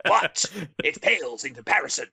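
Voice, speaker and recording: Cackling cartoon villain scientist, booming, theatrical, high and swooping, slow drawn-out words. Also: male voice, very animated, creaky, pushed and bright, husky, full character voice. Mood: gloating. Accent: British.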